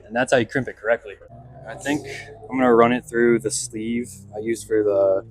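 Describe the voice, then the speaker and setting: A man's voice: mid-range voice, fairly even intonation, normal speed, faint music behind. A man casually narrating his hands-on car install as a vlog host, thinking aloud in a laid-back, slangy way. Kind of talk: casual narration while working on a vehicle. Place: in and around a pickup truck.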